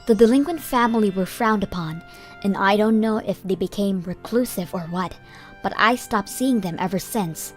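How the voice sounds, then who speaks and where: A woman's voice: medium pitch, normal speed, faint music behind. A woman reading a scripted first-person story as the narrator of a comic dub.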